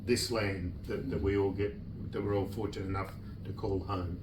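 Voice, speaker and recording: A man, an author at his book launch, speaking in a relaxed, reflective, plain-spoken way. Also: low voice, moderate pace, a little hiss or hum. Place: bookshop event room. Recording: echoey room, distant mic.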